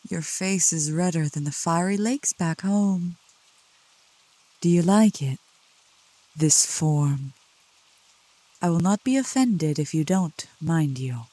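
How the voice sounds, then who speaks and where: A woman playing a seductive, protective demon in a soft ASMR roleplay, speaking slowly and coaxingly in a low, gentle voice. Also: animated, dry recording, rough recording. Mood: teasing, seductive. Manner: slow, deliberate, coaxing, with pet names. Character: seductive, protective female demon, soothing yet scornful of cultists. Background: rain.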